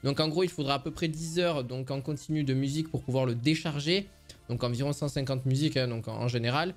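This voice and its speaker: A man's voice: mid-range voice, fast talker, faint music behind. A man giving a casual, semi-improvised product review as a presenter, explaining things in an informal, rambling way.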